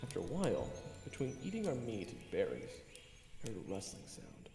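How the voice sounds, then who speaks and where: A man plays a playful young adventurer with a hugely animated, slightly gravelly voice. He narrates his tale in a theatrical, joking way, in an echoey, reverberant space with music underneath. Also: fairly low pitch, fairly quick. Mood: joking, boastful. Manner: theatrical, joking, conversational storytelling. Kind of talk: first-person audio drama narration and dialogue.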